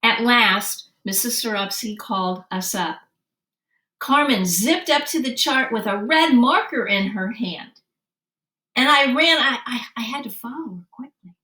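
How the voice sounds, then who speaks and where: Animated, bright-voiced woman reading to children. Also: on the high side. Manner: animated, deliberate, acts out dialogue. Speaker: storyteller reading a children's book aloud. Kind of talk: expressive children's read-aloud narration. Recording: roomy, distant microphone.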